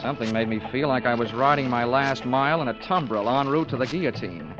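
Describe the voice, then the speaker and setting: A man's voice: mid-range voice, fast, natural voice. A man playing a wisecracking American investigator in a 1940s radio drama, clipped and sardonic. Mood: wry, narrating. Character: wisecracking, hard-boiled American insurance investigator. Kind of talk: 1940s radio acting, first-person narration. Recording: dull vintage radio recording, music behind.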